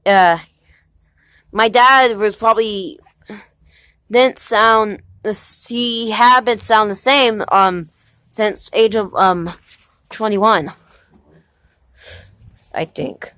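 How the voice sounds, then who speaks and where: A young man playing a halting nineteen-year-old husband. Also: high voice, pushed and bright, clear, resonant tone, no echo, heavily acted. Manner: hesitant, halting, many restarts. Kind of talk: amateur home movie acting.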